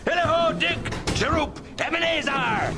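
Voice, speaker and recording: An actor playing a merry old merchant master in an audio drama, calling out heartily in a creaky voice. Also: male voice, extremely high pitch, animated, music in the background, full character voice. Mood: jolly, hearty. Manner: hearty, calling out in drawn-out shouts. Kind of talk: theatrical audio drama acting.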